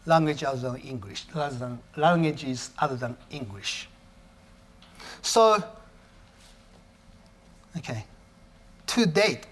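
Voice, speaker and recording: A man whose first language is Japanese gives an academic lecture as a professor, often halting and correcting his own phrasing. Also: medium pitch.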